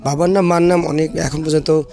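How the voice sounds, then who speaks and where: A man with a Bangladeshi accent, a shelter worker explaining things in an interview in a halting way. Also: on the high side, fairly even intonation, gravelly, warm tone, faint music behind. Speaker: shelter home staff, interview guest.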